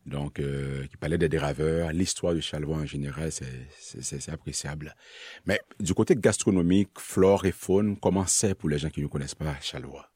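A radio host with a very deep, husky voice, chatting in a studio. He jokes and laughs easily with his guest. The sound is close and dry. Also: normal speed, a little mellow, no echo. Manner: chatty, jokey, laughs often. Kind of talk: radio interview. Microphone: studio mic.

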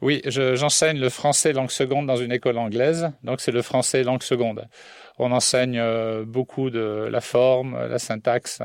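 A middle-aged man from southern France, a poet, talks on a radio show in an ordinary mid-range voice. He hesitates, restarts and laughs softly. The studio sound is dry and close. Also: medium pitch, steady tone, moderate pace, smooth, no room sound. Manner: hesitant, many fillers and restarts, soft laughs. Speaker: poet and French teacher. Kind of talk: radio interview. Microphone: studio mic.

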